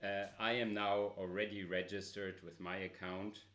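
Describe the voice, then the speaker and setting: A man with a loud voice presenting a smartphone app in a casual demo, with many filler pauses. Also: low voice, flat delivery.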